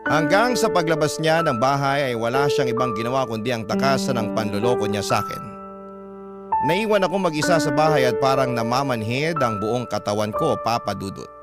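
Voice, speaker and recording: Male radio storyteller over loud music. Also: mid-range voice, lively intonation, brisk. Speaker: radio host reading a listener's letter. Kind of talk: dramatic scripted first-person narration. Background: loud dramatic music.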